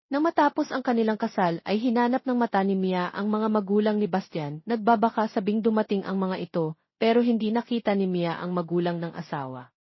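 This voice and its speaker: Female narrator, Filipino accent, mid pitch, scripted romance storytelling, dry close sound, slightly lo-fi. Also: fairly quick, no room sound. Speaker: audiobook narrator.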